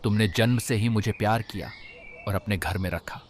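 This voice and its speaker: A man voicing a calm, stern talking rabbit who is a reborn saint, in a solemn, measured way for a Hindi cartoon. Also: on the low side, a little hiss or hum, lightly acted. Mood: earnest. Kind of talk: Hindi animated moral story dialogue.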